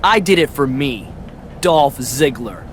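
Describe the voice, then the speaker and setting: A man's voice: medium pitch, lively intonation, slow pace, a bit bright, slight background noise. A man playing a vain, dismissive, self-serving wrestler in scripted video game dialogue. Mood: boastful. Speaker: professional wrestler.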